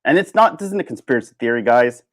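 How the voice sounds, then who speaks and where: A man giving a casual, opinionated livestream monologue in an animated, sardonic way. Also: mid-range voice, fast talker. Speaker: livestream host.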